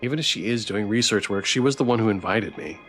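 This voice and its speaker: A man's voice: fairly low pitch, quick pace, a bit bright, soft background music. A man streaming a visual novel, reading its text aloud with playful, theatrical commentary and joking asides.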